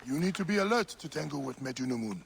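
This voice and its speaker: An actor playing a jovial, hearty friend in a video game cutscene, chuckling and expressive. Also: male voice, on the high side, fast. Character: warm jovial male friend and local helper. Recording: game cutscene with clear background noise.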